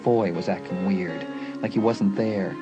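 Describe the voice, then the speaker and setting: A man's voice: low voice, unhurried. A man reading suspenseful scripted narration for a dramatised audiobook. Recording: faint music behind the narration.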